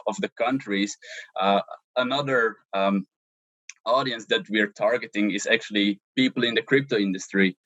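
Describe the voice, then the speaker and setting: Man, halting interview answers, low pitch. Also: fairly bright tone, no echo, lo-fi sound. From Belgium. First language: Dutch. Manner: hesitant, many ums and restarts. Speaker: social media manager, interview guest.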